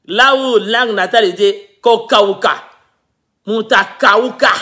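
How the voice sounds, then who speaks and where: Male pastor, very high voice, bright and forceful, fervent sermon, shouts. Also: a little slow. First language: a Congolese language. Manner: fervent preaching with shouted exclamations.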